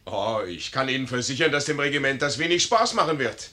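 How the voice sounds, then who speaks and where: An actor playing a brash, carefree, boastful young lieutenant in a 1950s German radio play. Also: male voice, mid-range voice, animated, a little fast, sharp tone. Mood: smug, unconcerned.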